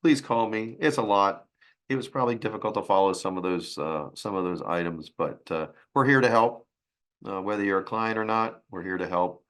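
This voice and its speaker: A man with a raspy, hoarse voice from a sinus infection, presenting a financial webinar in a halting way. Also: on the low side, lively intonation, fairly bright tone. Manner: halting, with restarts and fillers.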